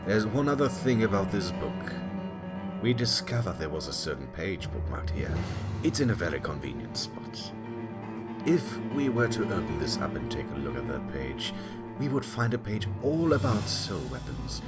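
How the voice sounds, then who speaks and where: A man's voice: low-pitched, sing-song, normal speed. A man playing a smug, relentless animal prosecutor in a courtroom audio drama, taunting and theatrical in his questioning. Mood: smug, confident. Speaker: voice actor in fan audio drama. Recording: loud music under the voice.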